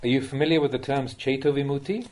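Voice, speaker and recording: A man with a slightly husky voice reading a Buddhist Dhamma talk aloud as a monk, in a measured, instructive way that addresses the listener directly. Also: medium pitch, a little mellow.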